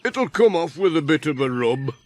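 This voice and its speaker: A man's voice: a little high, sing-song, normal speed, dry recording, voice pushed up. A man voicing a breezy, slapdash village handyman with blunt, offhand rustic talk for a children's audiobook.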